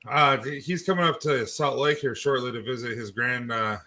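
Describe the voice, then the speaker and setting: A man's voice: medium pitch, fairly even intonation, normal speed, pushed and bright. A man in his forties hosting a casual livestream, greeting viewers by name and laughing often. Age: 40s. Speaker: livestream host.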